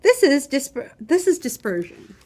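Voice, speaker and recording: Woman voicing an earnest, high-pitched little science fairy. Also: big pitch swings, normal speed, darkish tone. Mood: earnest explaining. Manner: matter-of-fact, explanatory. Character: earnest, science-minded little female fairy who explains facts.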